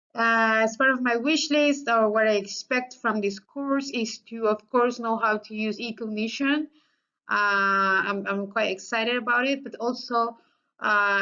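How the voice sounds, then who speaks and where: Bright-voiced Colombian woman, hesitant. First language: Spanish. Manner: hesitant, many fillers and restarts. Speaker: student introducing herself. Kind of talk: casual self-introduction. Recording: slightly muffled low-fidelity recording.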